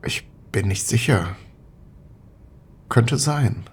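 Man acting slow, husky, worried scientist. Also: medium pitch, expressive, exaggerated voice. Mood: wary, resigned. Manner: slow, hesitant, questioning. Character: weary, worried Soviet scientist, a cautious male lover. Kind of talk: German audio drama acting.

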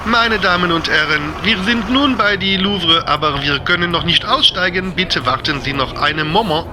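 Casting: testy French bus driver, male actor, high voice, French accent, radio drama, loud traffic noise. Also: normal speed, close to an everyday voice. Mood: announcing. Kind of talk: radio play acting.

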